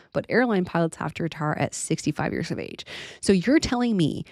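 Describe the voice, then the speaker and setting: Low-voiced American woman, blunt and emphatic. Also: darkish tone, no room sound, clean studio sound. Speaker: aviation vlogger and pilot. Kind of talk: casual opinion commentary to viewers.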